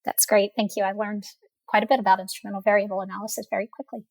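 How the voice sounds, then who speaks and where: A woman's voice: medium pitch, flat delivery, very fast, gravelly, dry recording, low-quality recording. A woman hosting a professional podcast, asking formal, articulately worded interview questions. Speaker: burn surgeon. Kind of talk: scripted-sounding interview questions.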